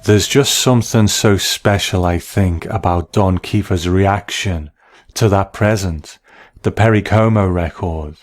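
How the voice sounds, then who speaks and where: A man hosting a scripted episode commentary, speaking in a measured, appreciative way. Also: low voice. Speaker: host of a TV episode commentary show.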